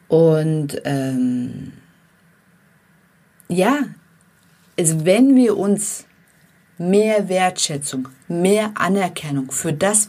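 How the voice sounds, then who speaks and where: A woman with a slight foreign accent giving a calm, earnest self-help talk, speaking directly to her listeners. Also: fairly low pitch, breathy edge. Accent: non-native accent. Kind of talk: motivational monologue to viewers.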